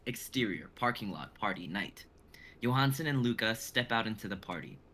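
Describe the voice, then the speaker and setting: A young man narrates a script live in a dramatic style. His voice is low yet bright and forceful, with faint noise behind it. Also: expressive, moderate pace. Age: young adult. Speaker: narrator reading stage directions.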